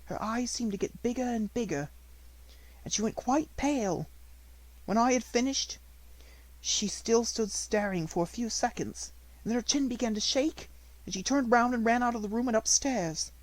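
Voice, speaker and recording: Man putting on a high, flustered woman. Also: normal speed, dry recording, poor audio. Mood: uneasy, bewildered. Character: flustered, uneasy woman telling of a strange child.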